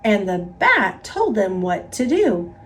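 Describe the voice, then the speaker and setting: A woman with an American accent narrating a children's picture book in an expressive, emphatic read-aloud style. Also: medium pitch, takes it slowly. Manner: expressive, emphatic, repetitive build-ups. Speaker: storybook narrator.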